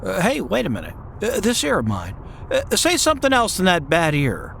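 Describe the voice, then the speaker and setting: A man's voice: high voice, wildly swooping pitch, normal speed, vocal fry, a bit bright, a little hiss or hum, exaggerated voice. A man playing a troubled, bewildered small-town family man in an old-time radio drama, with a hesitant, stammering delivery.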